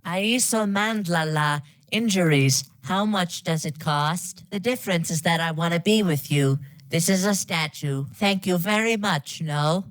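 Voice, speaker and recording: A woman's voice: on the low side, a little slow, no echo. A synthetic woman's voice reading scripted dialogue in a stilted, disjointed way. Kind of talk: text-to-speech reading of garbled game dialogue.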